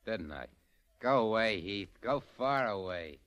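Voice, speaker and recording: A man's voice: fairly low pitch, expressive, unhurried, fairly bright tone. An actor playing a bored, insolent gunman in a 1940s radio crime drama, laconic and dismissive. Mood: contemptuous. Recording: dull, lo-fi 1940s radio recording.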